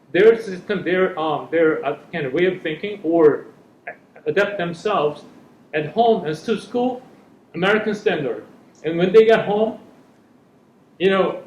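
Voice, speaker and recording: Man, middle-aged, fairly high voice, earnest panel talk, echoey room, muffled sound. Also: slight background noise. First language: Korean. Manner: earnest, searching for words in a second language. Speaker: panelist, parent. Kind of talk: panel discussion. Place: school hall or room.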